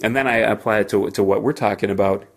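A man hosting a solo podcast, talking informally and haltingly as he thinks aloud. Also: lowish voice, steady tone. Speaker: podcast host; engineer.

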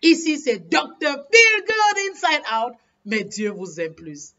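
A middle-aged woman from Cameroon with an English speaker's accent talks straight to her webcam in front of curtains. Her delivery is animated and encouraging, and she repeats words as she hunts for the right phrase. The sound is slightly muffled and lo-fi. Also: sits high, slow. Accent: Cameroonian English speaker speaking French. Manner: animated, repeats words while searching for French phrasing, gestures with hands. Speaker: pediatrician and life coach. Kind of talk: direct-to-camera motivational talk. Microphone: webcam at desk.

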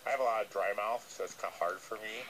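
A man's voice: lowish voice, quick pace, voice pushed down, close to an everyday voice. A man voicing a nervous, earnest, dim-witted llama who hesitates and repeats himself on a comic radio call. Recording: noisy re-recording of radio playback.

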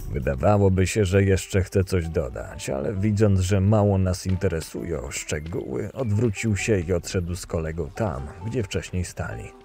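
Man playing a low-voiced, cynical camp boss. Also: expressive, moderate pace, vocal fry, hardly any pauses, mellow, soft background music. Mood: cool, curt. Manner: dry, detached, curt. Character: cool, cynical camp boss telling his own story. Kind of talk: first-person dramatic narration in fan audio drama.

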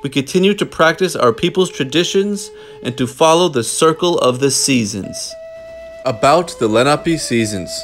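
A man reading a book aloud in a measured, deliberate way, pausing often between phrases. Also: fairly high pitch, expressive, soft background music. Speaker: storybook reader. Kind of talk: children's book read-aloud, scripted narration.

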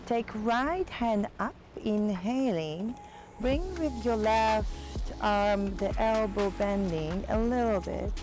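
A woman teaching yoga, giving slow, soft step-by-step cues on breath and stretching, with a voice. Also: mid-range voice. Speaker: yoga instructor. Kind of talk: guided yoga instruction. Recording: voice over loud music.

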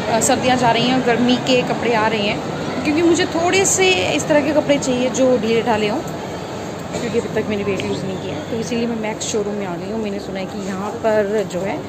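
A woman's voice: a little high, very noisy. A woman, chatting casually as she walks through a shopping mall, filming on a phone held at arm's length. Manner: chatty, relaxed walkthrough. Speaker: vlogger. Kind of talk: casual vlog narration.

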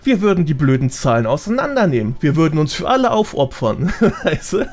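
A man's voice: on the high side, sing-song, a little fast. A man with a colloquial, slangy way of explaining things, chatting casually as a guest on a gaming podcast. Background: background music.

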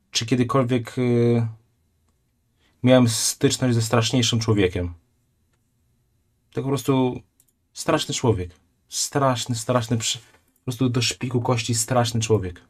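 A man's voice: medium pitch, slow, long pauses. Male streamer, thirties, blunt and indignant reaction commentary, small room. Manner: blunt, indignant, often drops to a quiet disgusted tone.

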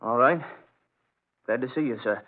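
An actor playing a touchy, distressed but polite young son in an old-time radio drama, clipped and defensive. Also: male voice, medium pitch, normal speed, lightly acted. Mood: subdued. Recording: muffled narrowband old radio recording.

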